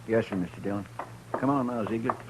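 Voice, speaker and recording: An actor playing a folksy, obliging western deputy, deferential and drawling, in an old-time radio western. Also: male voice, on the low side, fast talker, natural voice. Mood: folksy, businesslike. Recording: noisy old radio drama recording.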